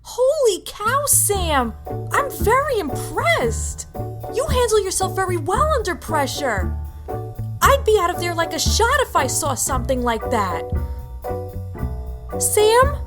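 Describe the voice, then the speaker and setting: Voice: very high voice, very animated, slow, over music, exaggerated voice. An actor voicing a chatty, cheeky magical talking sword in an audio play, with a bubbly, exclaiming manner. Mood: impressed, chatty.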